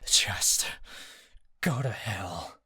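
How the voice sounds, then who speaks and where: A man's voice: animated, moderate pace, husky, dry recording, clean studio sound. A man voicing a heartbroken young man who screams in anguish and sobs out desperate pleas. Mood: bitter sneering. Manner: shrill cracking shouts and sobbing pleas.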